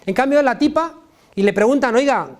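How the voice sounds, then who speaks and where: A man's voice: sits high, lively intonation, normal speed. A middle-aged man from Spain, a political science professor, giving an impassioned public lecture with a Spain Spanish accent and emphatic, colloquial repetition.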